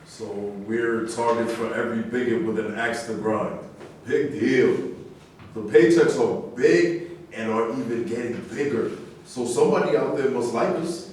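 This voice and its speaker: A man's voice: medium pitch, a little slow, darkish tone, natural voice. Guy acting a blunt jokey ex boxer, argues back, stage reading, super echoey w faint hiss. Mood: dismissive. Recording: very echoey room, distant mic, faint noise.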